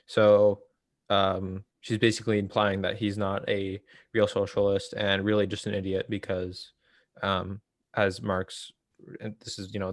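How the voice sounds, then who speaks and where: A man with a fairly low voice leads a casual book discussion. He explains haltingly, thinking aloud as he goes. The sound is dry, with very little room to it. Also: no echo. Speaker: host of a political book read-along.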